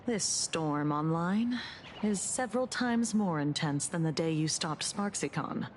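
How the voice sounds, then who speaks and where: A woman's voice: lowish voice, measured pace, slight background noise, lightly acted. An actress playing a polite, level-headed female agent in a video game, formal and matter-of-fact. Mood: serious.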